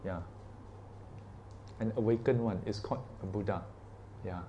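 A man's voice: fairly low pitch, normal speed, muffled recording, background noise. A man teaching an informal Buddhist class, chatty and asking his listeners questions, often tagging sentences with "yeah" and "ah". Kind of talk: informal dharma class talk.

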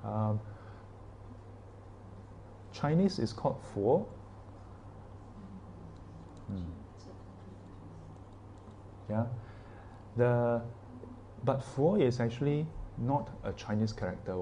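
A man's voice: mid-range voice, expressive, very slow, pauses often, mellow, a little hiss or hum, low-quality recording. Chatty man teaching a class. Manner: chatty, asks the class questions, frequent "yeah" and "ah". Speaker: Buddhist teacher. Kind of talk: informal dharma class talk.

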